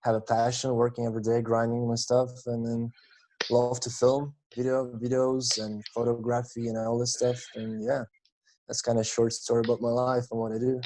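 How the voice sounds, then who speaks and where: A young man from Lithuania, a guest chatting casually on a podcast in a relaxed, laid-back way. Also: medium pitch, moderate pace, poor audio. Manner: relaxed, laid-back, calls people bro. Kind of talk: casual chat.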